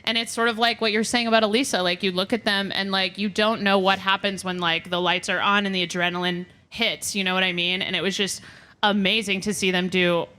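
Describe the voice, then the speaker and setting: Bright-voiced woman podcast co-host. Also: medium pitch, little pitch movement, brisk. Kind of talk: unscripted live podcast discussion. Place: live show in front of an audience.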